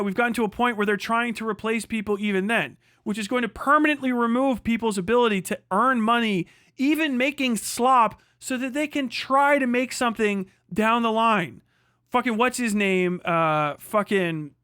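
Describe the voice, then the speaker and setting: A man's voice: high-pitched, normal speed, bright, forceful tone, clear, resonant tone, no echo, studio quality. A man hosting a livestream, giving an unscripted, opinionated rant in an emphatic, repetitive, heavily profane way. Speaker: livestream host.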